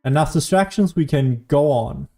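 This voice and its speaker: Casting: male gaming streamer, mellow and fairly high, casual sarcastic commentary, faint music behind. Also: lively intonation, normal speed, creaky. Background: faint game music.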